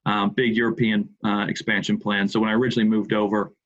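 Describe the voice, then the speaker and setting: A man's voice: on the low side, little pitch movement, fast talker, fairly bright tone, muffled recording. A middle-aged American man from the Midwest, a podcast guest chatting casually and repeating words as he starts his thoughts. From Indianapolis, USA. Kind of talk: casual interview chat.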